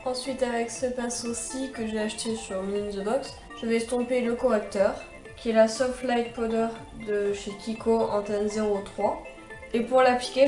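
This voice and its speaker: A woman's voice: on the high side, a little mellow, echoey room. Young woman with a stuffy cold voice. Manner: chatty, meandering, many fillers and restarts. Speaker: beauty vlogger. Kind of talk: makeup tutorial voice-over.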